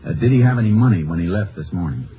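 An actor playing a calm, shrewd Texas Ranger in a 1950s radio drama, speaking laconic, folksy Western American English. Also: male voice, on the low side, racing, slight background noise, close to an everyday voice. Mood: brisk questioning. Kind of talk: 1950s radio drama acting with first-person narration. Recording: muffled old-time radio recording with music.

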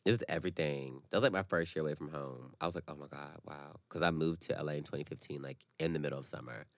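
Campy, very deep-voiced young man. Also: fast, no room sound, studio quality. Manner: campy, sassy, slangy. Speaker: co-host of a chat show. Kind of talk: casual gossipy banter between friends.

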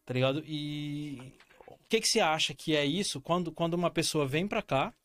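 A man from Brazil with a Brazilian Portuguese accent who hosts a casual talk, asking quick, slang-filled questions. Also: on the high side, fairly bright tone.

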